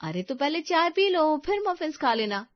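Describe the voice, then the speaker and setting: A woman's voice: high-pitched, big pitch swings, normal speed, dry recording, dull audio, voice pushed up. A woman voicing a breezy, easily bored young housewife in story dialogue.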